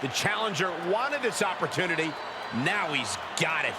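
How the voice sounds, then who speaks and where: A man playing an earnest, excited play-by-play commentator in a wrestling video game. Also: high voice, normal speed. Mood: excited, dramatic. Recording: loud arena noise behind.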